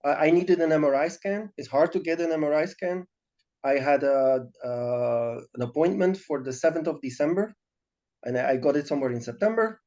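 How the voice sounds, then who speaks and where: A male interviewer with a fairly high but dark, mellow voice, asking probing questions. He repeats words as he starts his sentences. The recording sounds dull and lo-fi. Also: fairly quick.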